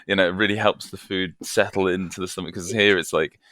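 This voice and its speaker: Bright-voiced man telling stories. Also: low voice, steady tone, brisk, no echo. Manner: chatty, self-deprecating, laughs often. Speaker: guest vocalist. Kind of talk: casual interview storytelling. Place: home, evening.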